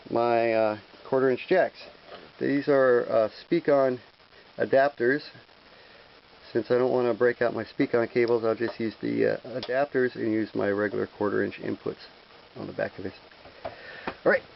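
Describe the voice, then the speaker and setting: A man's voice: medium pitch, vocal fry. A man presenting an informal how-to, explaining step by step in a plain-spoken way, recorded on a handheld camera. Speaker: presenter of a hands-on how-to video. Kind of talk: informal hands-on tutorial. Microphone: handheld camera microphone. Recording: dull lo-fi handheld camera audio with hiss.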